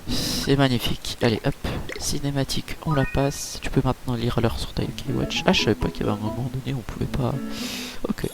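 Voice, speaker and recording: A man giving casual, chatty let's-play commentary over a game, full of fillers and quick reactions. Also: mid-range voice, gravelly. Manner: chatty, filler-heavy, easily impressed. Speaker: gaming YouTuber. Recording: game music playing behind.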